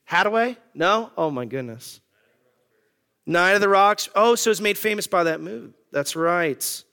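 Pastor guy in his 30s, high bright voice, chatty sermon, keeps asking the crowd stuff. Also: expressive, measured pace, creaky, smooth. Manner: conversational, joking with the congregation, asks questions.

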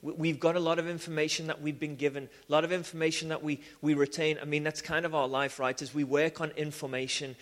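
Liverpool guy preaching, kinda high voice, lots of ums and restarts, big echoey church hall. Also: quick pace, few pauses. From England. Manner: animated, many restarts and "um"s. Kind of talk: sermon with personal testimony. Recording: long hall reverb.

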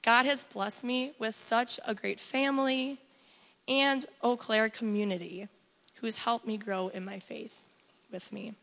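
Hoarse woman, earnest baptism testimony, long church-hall reverb. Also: a little high, fairly even intonation, a little slow, fairly bright tone. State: hoarse, recovering from voice loss. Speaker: baptism candidate giving testimony. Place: church sanctuary.

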